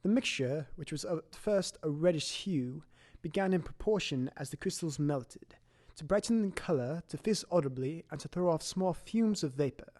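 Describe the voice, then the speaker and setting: A man's voice: on the high side, animated, a little mellow. A man playing a grave, shaken Victorian doctor, recounting horror in formal, dramatic audio drama narration. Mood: grave, tense. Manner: formal, dramatic, measured storytelling.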